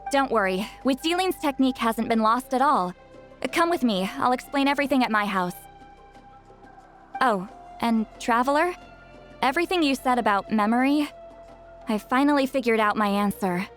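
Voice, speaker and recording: A woman's voice: fairly high pitch, lively intonation, moderate pace, long pauses, fairly bright tone, soft background music. A woman playing an aloof, self-assured, slightly prickly young shaman in a video game, with a dry, offhand delivery.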